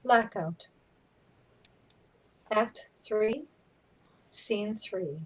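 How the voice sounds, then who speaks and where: A woman announcing stage directions for a play in a scripted read, with a breathy voice. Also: medium pitch, extremely slow, poor audio.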